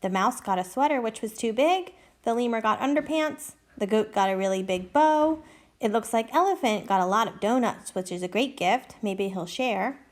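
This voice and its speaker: A woman's voice: fairly high pitch, expressive, moderate pace. A woman reading a picture book aloud to children like a teacher, explaining and asking the listeners questions. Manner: instructive, asks listeners questions.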